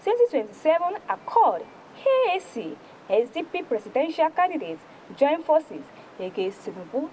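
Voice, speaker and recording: A woman with a Nigerian accent presenting a television newspaper review, reading stories aloud in an emphatic, deliberate way. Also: high voice, big pitch swings, darkish tone, a little hiss or hum, poor audio.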